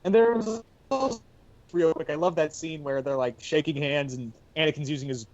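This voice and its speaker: A man's voice: fairly high pitch, moderate pace, a little mellow, muffled recording. A man chatting casually as a panelist in a podcast discussion.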